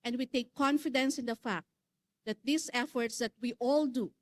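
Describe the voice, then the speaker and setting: Formal Filipino woman giving a welcome speech. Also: a little high. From Philippines. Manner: measured, formal delivery with some fillers and restarts. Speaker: secretary general of a regional adult education network. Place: conference hall.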